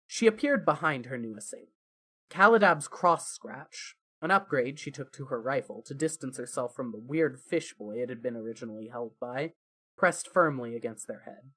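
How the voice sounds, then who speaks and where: A narrator reading scripted fan fiction as a dramatic story, with deliberate pauses. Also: medium pitch, fairly bright tone.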